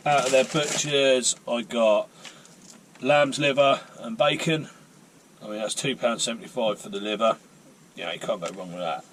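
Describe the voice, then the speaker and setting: A man's voice: medium pitch, slight background noise. A man, hosting a casual vlog and chatting in a rambling, self-mocking way. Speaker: vlogger. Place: outdoors and in a car on a rainy day.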